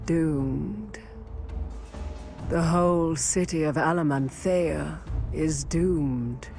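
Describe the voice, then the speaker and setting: Slow, gloomy fantasy sage. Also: male voice, medium pitch. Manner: theatrical, portentous. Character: gloomy, dramatic city sage lamenting doom.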